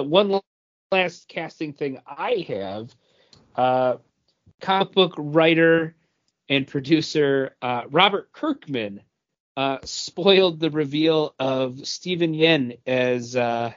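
Guy doing casual geek news, kinda searches for words, muffled lo-fi audio. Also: medium pitch. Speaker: podcast co-host presenting news. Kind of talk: casual chat about entertainment news. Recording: dull lo-fi sound.

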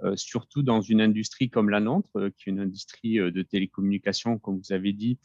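A man in his forties, a company executive being interviewed, speaking hesitantly with many pauses and restarts. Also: lowish voice, steady tone, darkish tone, no room sound, dull audio. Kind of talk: podcast interview.

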